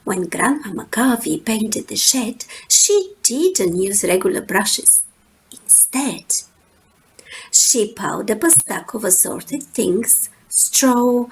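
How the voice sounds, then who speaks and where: Slow, highly expressive woman storyteller. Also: a little hiss or hum, low-quality recording. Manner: rhythmic, dramatic pauses, drawn-out words. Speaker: storyteller reading a children's picture book. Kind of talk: expressive rhyming storybook narration.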